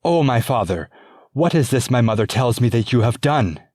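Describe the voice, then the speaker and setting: A man voicing a fierce, angry young princess with a high, strained voice. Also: big pitch swings, moderate pace, a little mellow, slightly rough, no room sound, studio quality. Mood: indignant.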